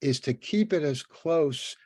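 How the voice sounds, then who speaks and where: A man in his seventies hosting a conversational interview, speaking in a halting, thoughtful, rambling way with many restarts. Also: fairly high pitch, lively intonation, dark tone, dry recording, clean studio sound. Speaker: interviewer and host.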